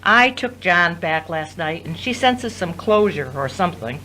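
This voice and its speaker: A woman playing a defensive, sharp-tongued adult sister in an audio drama, emphatic and argumentative. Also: on the low side, animated, measured pace, a little hiss or hum.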